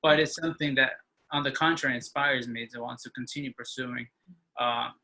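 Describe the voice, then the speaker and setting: A man in his twenties, an interview guest, talking with halting speech and frequent restarts. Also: medium pitch, steady tone, pushed and bright. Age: 20s. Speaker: interview guest, engineering student. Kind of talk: casual conversation.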